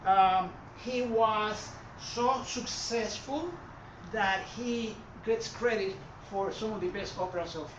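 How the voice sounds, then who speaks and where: A man with a Puerto Rican Spanish accent lectures on art in a gallery hall, wandering through his sentences, stopping and restarting, leaning on plenty of "uh" and "um". He sits at a middling pitch and is picked up from well back in a reverberant room. The sound is dull and muffled, with steady hiss and murmur underneath. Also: slow pace. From Puerto Rico. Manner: rambling, self-correcting, with many fillers. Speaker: art history presenter. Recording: far-off echoey room mic, dull and noisy.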